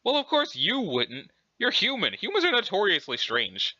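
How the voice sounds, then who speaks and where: A man voicing a chatty, scholarly, excitable alien troll with an affected British accent and a pompous, wordy manner. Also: high voice, normal speed, no room sound, lo-fi sound, full character voice. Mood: eager, pedantic. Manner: pompous, wordy, effusively enthusiastic. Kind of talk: theatrical character reading of a webcomic.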